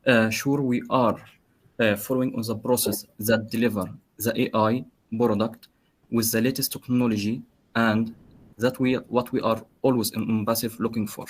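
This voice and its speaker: A man from Egypt with an Egyptian accent, introducing himself in an online meeting with halting, careful phrasing. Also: mid-range voice, level tone, breathy edge. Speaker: project manager.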